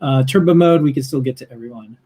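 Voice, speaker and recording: A man's voice: medium pitch, fast talker, warm tone, breathy edge. A man hosting a live show casually, in a businesslike way that moves things along.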